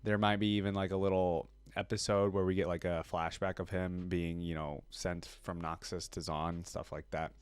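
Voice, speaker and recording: A man's voice: low voice, level tone, normal speed, hardly any pauses, clean tone, no room sound. A man hosting a reaction video, giving casual, conversational commentary that rambles with plenty of filler words.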